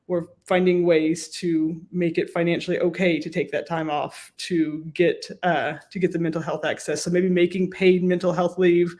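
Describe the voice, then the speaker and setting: A woman with an American accent, a professor and researcher, giving measured, explanatory interview answers with emphatic lists. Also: lowish voice, level tone, a little mellow.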